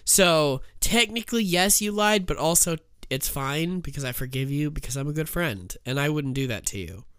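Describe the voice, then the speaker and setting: A man co-hosting a casual podcast, sick and a bit rough-voiced, joking and swearing between laughs. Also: a little high, expressive, moderate pace, a bit bright, no room sound, clean studio sound. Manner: joking, profane, laughs a lot. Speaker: podcast co-host. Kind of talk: casual chat.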